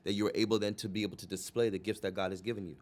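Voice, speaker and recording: Fast-talking man with a low voice. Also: fairly even intonation, darkish tone. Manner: earnest, insistent, repeats phrases for emphasis. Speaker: podcast co-host. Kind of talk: casual debate.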